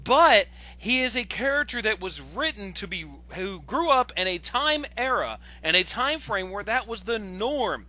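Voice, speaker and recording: An excitable man with a very bright, piercing voice talks casually on a voice chat. His pitch sits high and moves around a lot. He sounds exasperated, stammering and swearing as he gets worked up. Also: a little slow, hardly any pauses. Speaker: guest commentator on an online video. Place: online voice call.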